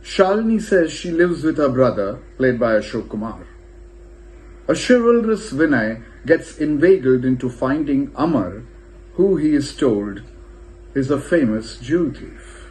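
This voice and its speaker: Man, scripted review narration, expressive reader, wide swooping intonation. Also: medium pitch, a little slow, rough recording. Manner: expressive, lively reading with shifting emphasis. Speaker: narrator reading a film review.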